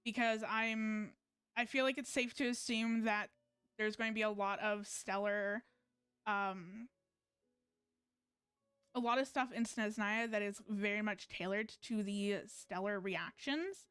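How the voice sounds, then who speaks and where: A young woman from Canada chats to her stream viewers, trailing off and restarting her sentences often. Her voice is crisp and clean, and the close mic sounds dry and free of room echo. Quiet game music plays underneath. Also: medium pitch, fairly even intonation, unhurried. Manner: rambling, hesitant, many fillers and restarts. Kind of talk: casual gameplay chat with viewers.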